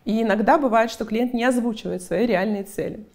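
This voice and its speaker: Fast-talking woman PR expert. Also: on the high side, darkish tone. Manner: brisk, talkative, explains with examples. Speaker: PR agency head, guest expert. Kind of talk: interview answers with client anecdotes.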